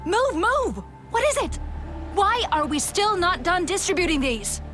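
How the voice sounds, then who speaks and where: A woman playing a harried, demanding military supply officer in a video game, brisk and commanding. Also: very high-pitched, big pitch swings, fairly bright tone, heavily acted. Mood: urgent, impatient. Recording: music playing behind the voice.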